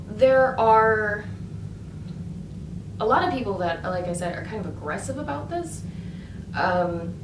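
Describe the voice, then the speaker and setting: A woman's voice: lowish voice, recorded from a distance, noisy background. Earnest woman in her thirties, roomy sound. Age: 30s. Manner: earnest, emphatic, many fillers. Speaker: vlogger. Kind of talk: casual monologue to camera.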